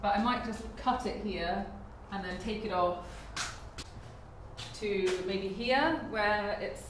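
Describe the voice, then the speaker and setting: A young woman talks casually about her renovation work, thinking out loud as she goes. Her voice rings around a large empty brick barn and is picked up from a distance. A faint hiss sits underneath. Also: medium pitch, slow. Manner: chatty, thinking aloud while working. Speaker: DIY renovation vlogger. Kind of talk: casual vlog narration. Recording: echoey barn, mic set far off, faint background hiss.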